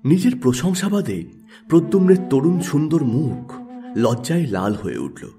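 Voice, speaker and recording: A man's voice: on the high side, big pitch swings, moderate pace, mellow, soft background music. A man narrating a literary story in a scripted audiobook style.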